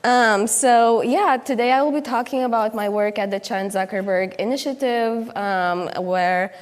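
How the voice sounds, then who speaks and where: A woman's voice: on the high side, measured pace. A young woman from Romania giving a conference keynote, speaking hesitantly with many fillers and restarts. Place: conference hall stage. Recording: long reverb of a conference hall.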